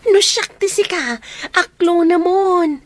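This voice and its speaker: An actress playing a teasing, excitable young best friend in a radio comedy drama, gushing as she speaks. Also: very high voice, slow pace.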